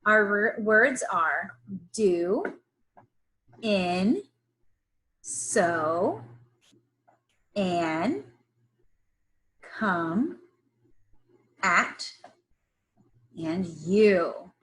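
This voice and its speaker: A woman teacher leading a reading lesson for young children, instructing in an encouraging way with pauses for them to repeat. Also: medium pitch, very animated, fairly bright tone, poor audio. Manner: instructional, encouraging, pausing for repetition. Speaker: early-grades teacher. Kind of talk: slow read-aloud phonics lesson for young children.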